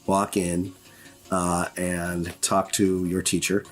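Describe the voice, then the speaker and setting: A man narrating a tabletop horror game as game master, halting and pausing as he goes. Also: low voice, flat delivery, soft background music.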